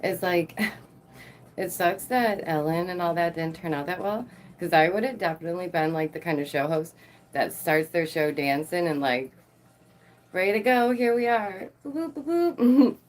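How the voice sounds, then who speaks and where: A woman with a lower voice hosting her channel, chatting casually to the camera, bubbly and laughing often. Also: expressive, normal speed. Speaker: channel host.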